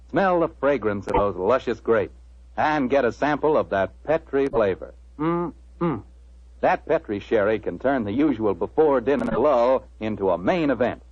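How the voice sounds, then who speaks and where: A man with an American accent works as a vintage radio announcer. He sells with folksy enthusiasm in a mid-range, slightly husky voice. The recording is muffled and aged. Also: medium pitch, no echo. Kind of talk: 1940s radio announcing and sponsor pitch.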